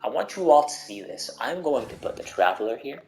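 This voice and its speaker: A man's voice: medium pitch, big pitch swings, fairly quick, gravelly, faint music behind. A man doing casual gameplay commentary with joking asides, bantering and repeating himself. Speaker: game streamer.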